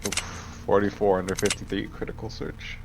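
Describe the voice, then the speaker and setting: A man's voice: on the low side, flat delivery, a little fast, gravelly, noisy background. A man chatting casually as a player in a tabletop game. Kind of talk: casual chat.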